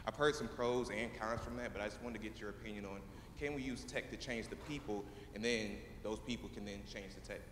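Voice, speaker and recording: A man's voice: fairly low pitch, fairly even intonation, fairly quick, fairly bright tone, slight background noise. A man in the audience politely asking the panel a question, hesitant and full of fillers. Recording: echoey hall, long reverb.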